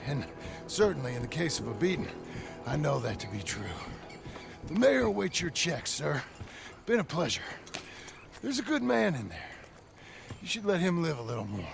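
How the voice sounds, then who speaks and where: A rough American outlaw in a game cutscene. The voice is gravelly and fairly high for a man, swinging widely in pitch. He drawls with folksy, mock-polite menace over music. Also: very animated, moderate pace, full character voice. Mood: sardonic, calm. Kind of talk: video game cutscene acting.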